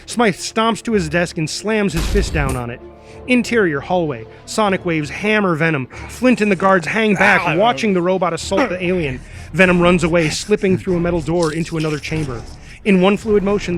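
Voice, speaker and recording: Man, high pitch, dramatic narrator, reading script stage directions, background music. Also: a little fast.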